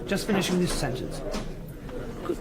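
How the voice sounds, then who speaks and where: High-voiced hesitant writer. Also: male voice, expressive, normal speed, crowd noise. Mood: uneasy, reluctant. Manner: hesitant, distracted. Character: hesitant, uneasy male political writer. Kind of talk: period radio drama acting.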